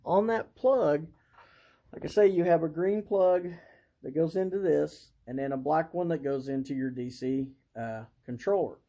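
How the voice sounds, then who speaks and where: A man casually presenting a hands-on hobby demonstration, talking haltingly and thinking aloud as he works. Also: a little high, dark tone.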